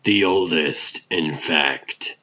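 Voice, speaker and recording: A grim vigilante hero, acted by a man in a noir comic drama. The voice is deep and forceful, delivered slowly and deliberately like a brooding detective's inner monologue. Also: highs cut off, heavily acted. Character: aging vigilante hero, brooding hard-boiled detective narrator.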